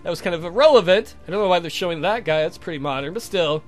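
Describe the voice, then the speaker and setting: Male let's player, high and expressive, irreverent reader of visual novels with crude asides, faint music behind. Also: fast talker. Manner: irreverent, foul-mouthed, slangy. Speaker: let's play host. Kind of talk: reading a visual novel aloud with joking asides.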